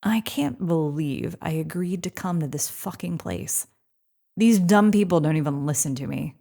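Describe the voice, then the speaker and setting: Dry, stressed sci-fi crewwoman, low voice with plenty of creak. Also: moderate pace, dark tone. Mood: stressed, bitter. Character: sardonic, stressed, foul-mouthed woman on a space mission. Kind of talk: audio drama acting.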